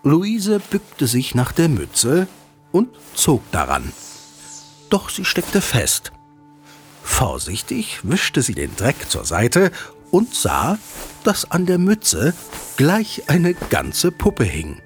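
Very animated, unhurried male storyteller. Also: on the high side, soft background music. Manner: expressive, deliberate storyteller phrasing. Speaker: narrator. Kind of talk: scripted storytelling for children's audio drama.

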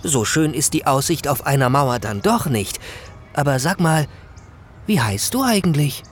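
A woman playing a lively, theatrical talking wooden puppet in a children's audio drama, with exclamations and old-fashioned flowery phrasing. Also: deep voice, normal speed, a little hiss or hum. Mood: relieved, chatty.

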